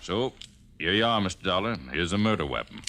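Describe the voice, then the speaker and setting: An actor playing a folksy, stern, self-assured American small-town police chief in a 1950s radio drama. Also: male voice, sits low, lively intonation, fairly quick, noisy background. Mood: accusing.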